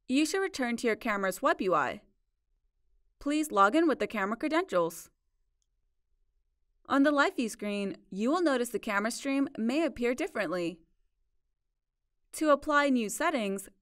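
A woman narrating a scripted software tutorial in a measured, instructional way. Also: a little high, no room sound, studio quality. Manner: clear, measured instructional delivery. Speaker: support video narrator.

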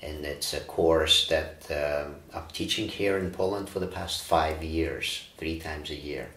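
A man in his forties from Ukraine, a breathwork teacher, answering interview questions in a calm, reflective way with frequent fillers. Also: rumbling low, a little slow. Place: bright studio room, indoors. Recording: roomy studio echo.

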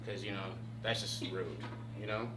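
A man's voice: on the low side, little pitch movement, moderate pace, reverberant, background noise. A man in his twenties giving a joking, crude, flirty tour of his apartment as the vlog host. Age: 20s. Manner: swaggering, crude, teasing. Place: apartment room indoors.

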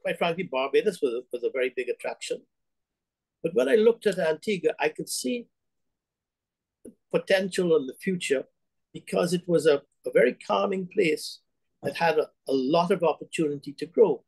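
Older man, from Guyana, fairly high pitch, dry sound, hesitant, video call. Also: lively intonation, gravelly, a little mellow, breathy edge, no echo, rough recording. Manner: hesitant, many restarts and fillers, unhurried. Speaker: bank CEO guest. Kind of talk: remote interview, reflective storytelling. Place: home room, indoors. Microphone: webcam on video call.

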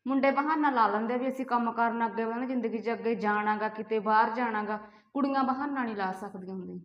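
A woman's voice: fairly high pitch, quick pace, natural voice. A young woman playing a wistful, resigned married woman in an amateur short drama. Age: young adult. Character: wistful young married woman meeting her old college admirer. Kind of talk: amateur short-film dialogue. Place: small hard-walled room indoors, camera at some distance. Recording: echoey room, dull lo-fi audio.

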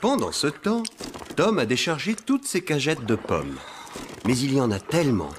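A man narrating a children's cartoon story in an expressive, scripted storytelling manner. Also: medium pitch, normal speed, a little hiss or hum, natural voice.

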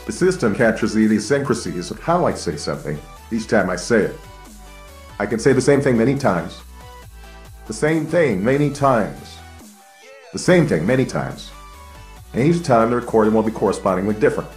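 Lively low male voice, roomy. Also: moderate pace, soft background music. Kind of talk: explanatory demo speech. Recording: roomy echo.

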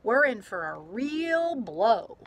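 A woman's voice: a little high, big pitch swings, slow pace, a bit bright, heavily acted. A woman voicing a kindly old fisherman grandfather in a picture book read-aloud. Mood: alert. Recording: clear outdoor background noise.

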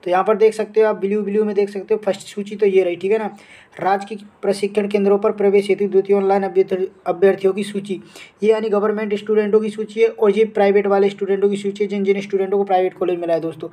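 A man's voice: high-pitched, flat delivery, fast talker, mellow. An Indian man hosting an educational update channel, talking informally through a screen-share tutorial and repeating himself with frequent check-in tags. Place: voice-over on a phone screen recording.

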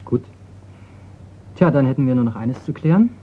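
A man acting a sardonic private investigator in a radio crime play. He speaks in a casual, unflappable way at a medium pitch. The recording is dull, with a faint layer of noise. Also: expressive, normal speed, close to an everyday voice. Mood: assured, businesslike. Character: wry, unflappable male private insurance investigator.

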